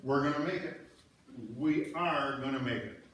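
A man's voice: medium pitch, normal speed, close to an everyday voice. A man in amateur readers' theater playing a jubilant, hopeful stranded fisherman in a folksy manner. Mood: jubilant relief. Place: church sanctuary stage, indoors. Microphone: distant audience camera. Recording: echoey church hall from far, faint noise.

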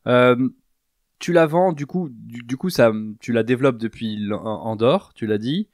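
A man hosts the interview and asks his questions hesitantly, full of fillers. His voice sits at a middle pitch. The sound is close and dry, with no room around it. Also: moderate pace, no room sound. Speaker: podcast host.

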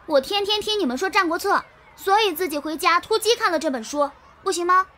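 A woman's voice: extremely high pitch, expressive, fairly quick, sharp tone, full character voice. A woman voicing a proud, clever schoolgirl who confidently explains things in a children's audio drama. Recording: children's music underneath.

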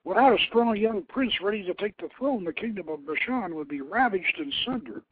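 A man's voice: a little high, background noise. A man reading a story aloud as a radio host, in a theatrical, formal storytelling style. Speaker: radio show host and author. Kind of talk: dramatic storytelling, reading his own novelette. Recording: muffled, thin phone-like radio line.

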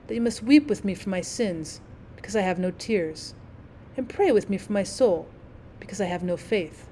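A woman voicing a lonely old male ghost in a storybook, low and dreamy, speaking in a sad, wistful way. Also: dark tone, slight background noise, close to an everyday voice. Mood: mournful. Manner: slow, mournful, wistful delivery. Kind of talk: storybook character reading.

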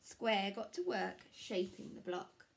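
A woman's voice: medium pitch, sing-song, slow, vocal fry. A young woman, a primary school teacher, reading a picture book aloud to young children indoors. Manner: explains words and asks questions. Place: small furnished room at home, indoors.

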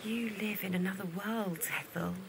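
A woman's voice: mid-range voice, normal speed, gravelly, noisy background, lightly acted. A woman playing a naive, earnest, lovesick young Victorian sister in an audio drama, speaking hesitantly. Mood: polite. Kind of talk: period audio drama acting.

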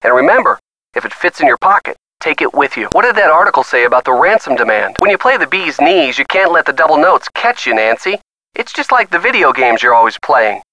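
Game casting: earnest young boyfriend, encouraging and folksy, higher-pitched, very lively intonation. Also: male voice, fairly quick, low-quality recording. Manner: encouraging, folksy turns of phrase. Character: earnest young boyfriend coaching a teen detective by phone. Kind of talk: scripted video game hint lines.

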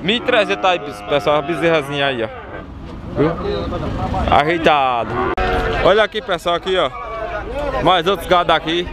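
A man's voice: on the high side, moderate pace, pauses often. A man with a Northeastern Brazilian accent giving casual, repetitive, emphatic market commentary and asking prices as host. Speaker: host of livestock market videos. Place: outdoor cattle fair pens. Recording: very noisy outdoor cattle market, busy crowd. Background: crowd, cattle, loud ambient noise.

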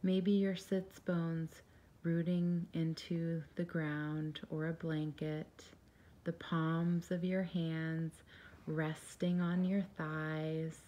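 A woman leading a guided meditation in a soft, soothing, gentle and unhurried voice, breathy at times. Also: low-pitched, little pitch movement.

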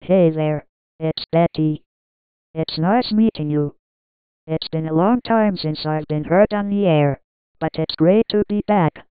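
A woman's voice: sits low, measured pace, clean tone, dry recording. A synthetic female voice playing a friendly, robotic weather announcer. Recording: dull lo-fi synthesizer audio.

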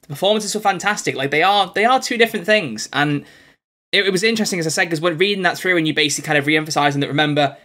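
A man's voice: a little high, very fast, sharp tone. A young man hosting an interview in a casual, chatty style. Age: young adult. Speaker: interview host. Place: bedroom studio on a video call.

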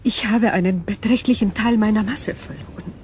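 An actress playing a calm, ancient, knowing alien being in a German sci-fi radio play, hushed and measured, detached and formal. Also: female voice, mid-range voice, slight background noise, lightly acted. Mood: cool.

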